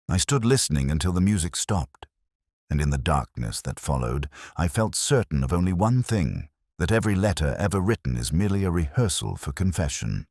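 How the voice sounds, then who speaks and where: A man reading scripted first-person mystery narration in a measured, literary, solemn manner. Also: extremely low pitch, a little mellow, no echo, clean studio sound.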